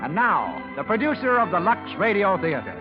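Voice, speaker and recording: A male announcer delivers formal sponsor copy in a polished, declamatory style. His pitch is high and lively. The recording is dull 1930s radio with music behind. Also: a little fast.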